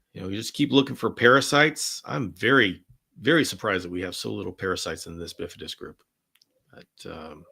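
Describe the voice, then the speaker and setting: A man hosting a live question-and-answer stream, talking enthusiastically and rambling with many restarts, his voice going hoarse. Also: fairly low pitch, sharp tone. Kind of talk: live Q&A chat.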